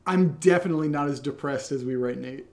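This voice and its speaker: A man co-hosting a podcast in casual chat, even-keeled, with dry, teasing humour. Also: a little high, quick pace. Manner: level-headed, even-keeled. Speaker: co-host of a horror audio drama podcast, reading listener questions.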